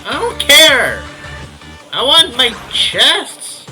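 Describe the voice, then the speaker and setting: A woman's voice: high-pitched, sing-song, extremely slow, low-quality recording. A woman giving casual gameplay commentary as a video host, blunt and reactive in short interjections. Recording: loud game music behind voice.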